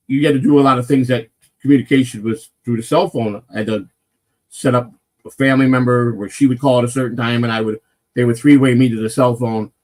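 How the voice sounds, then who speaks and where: Loud-voiced man telling a rough story. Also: mid-range voice, rough recording. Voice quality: naturally loud voice. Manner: rambling, swears freely, restarts and voices others in quotes. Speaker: morning show host telling a personal story. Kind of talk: casual live-stream chat, storytelling.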